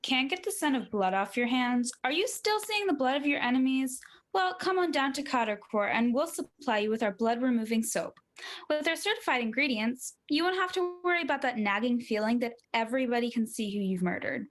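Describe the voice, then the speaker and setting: A young woman playing a pitchwoman in a mock 1940s radio sponsor advertisement. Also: expressive, brisk. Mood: salesy. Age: young adult. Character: pitchwoman selling soap in a mock sponsor spot.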